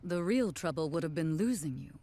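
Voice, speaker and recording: A woman playing a proud, protective warrior in a video game, husky and stately, with a motherly assurance. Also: low voice, animated, brisk, warm tone. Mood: tender. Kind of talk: video game cutscene acting. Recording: faint music behind the voice.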